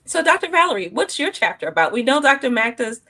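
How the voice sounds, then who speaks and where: A woman's voice: sits high, sing-song, fast, bright, forceful tone. A woman hosting a livestream conversation, affirming her guests and asking them about their chapters. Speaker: host.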